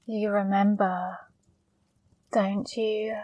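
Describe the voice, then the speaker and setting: A woman playing a flirty, teasing female demon in an intimate ASMR roleplay, speaking softly and breathily, coaxing and gently scolding up close. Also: mid-range voice, level tone, extremely slow, no echo, highs cut off. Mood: gently chiding. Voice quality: soft, breathy, partly whispered. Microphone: close microphone, dry.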